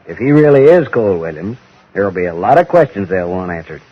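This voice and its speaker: An actor playing a blunt, guarded Western sheriff with an American Western accent and folksy, terse speech in an old-time radio drama. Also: male voice, sits low, very animated, fast talker, slightly rough, heavily acted. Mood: stubborn, firm. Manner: terse, drawling rustic speech. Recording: dull, muffled old radio recording.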